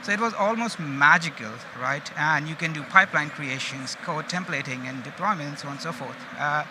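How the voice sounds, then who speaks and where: Higher-voiced Indian man presenting over loud noise. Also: a little fast. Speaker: conference speaker, data engineering manager. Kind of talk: conference presentation, informal delivery. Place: open stage at a busy exhibition. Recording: loud background noise of busy event hall. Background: loud ambient event noise.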